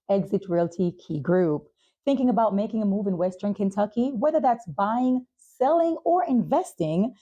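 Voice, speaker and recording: Woman, podcast host, middle pitch, relaxed dark timbre, presenter delivery. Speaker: talk show and podcast host. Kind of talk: interview hosting and scripted sponsor reads.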